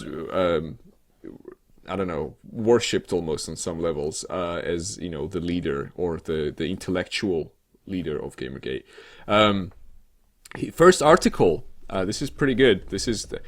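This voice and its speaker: Low-pitched man chatting, halting and sarcastic. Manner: halting and rambling, sweary, sarcastic. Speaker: co-host of a weekly games show. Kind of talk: casual comedic news chat.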